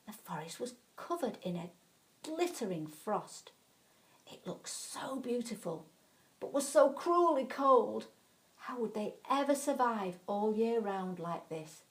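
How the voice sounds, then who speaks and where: Woman telling an expressive children's bedtime story. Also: fairly high pitch, slow pace, low-quality recording. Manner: expressive, dramatic storytelling with emphasis.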